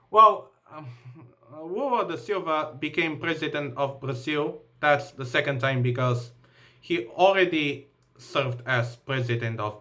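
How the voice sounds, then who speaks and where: Slow, hesitant Bulgarian-accented man. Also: mid-range voice. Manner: hesitant, many ums and uhs, restarts while reading. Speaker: vlogger. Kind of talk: reading events aloud from a laptop.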